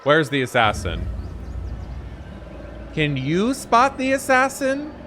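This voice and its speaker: A man's voice: on the high side, huge pitch swings, takes it slowly, bright, forceful tone, slight background noise. A man giving casual reaction commentary as host of a video game let's play. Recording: game music behind the voice. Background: game music and dialogue.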